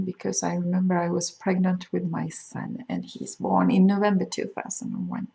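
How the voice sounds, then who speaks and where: A middle-aged woman with a Swedish accent, a crochet designer talking casually to camera and explaining things with frequent pauses and fillers. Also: mid-range voice, creaky, darkish tone. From Sweden. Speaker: crochet designer hosting a video podcast. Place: home in France.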